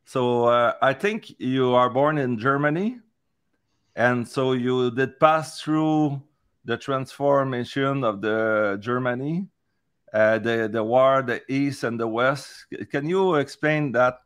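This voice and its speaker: A man hosting an interview, speaking slowly and hesitantly with a Québécois French accent, switching between French and English. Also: mid-range voice, a bit bright, smooth. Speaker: host, columnist.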